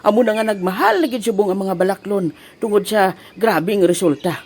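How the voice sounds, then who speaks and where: A man's voice: high voice, lively intonation, a little fast, soft background music. A man playing a chatty, teasing, exclamatory village man in a comedy audio drama. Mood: grumbling.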